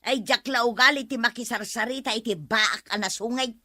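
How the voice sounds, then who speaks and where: A woman's voice: on the high side, fairly quick, sharp tone, dry recording, clean studio sound. A woman playing a stubborn, evasive villager who owes money in a comedy audio drama, defensive and whiny with an Ilocano accent.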